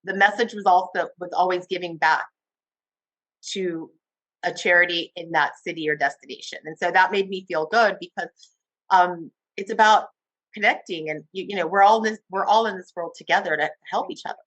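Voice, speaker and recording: A woman television host recounts her career in an interview, speaking with enthusiasm and animated swings in tone. The sound is dry and close to the mic, with no room around it. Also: lowish voice, normal speed, no echo, low-quality recording. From Cuba. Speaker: TV host guest. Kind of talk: interview conversation.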